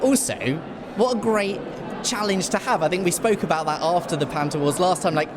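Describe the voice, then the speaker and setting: A man's voice: high voice, brisk. A man answering informally and emphatically as chair of an association being interviewed. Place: busy venue before an awards ceremony. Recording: clear background noise of busy venue. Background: venue noise.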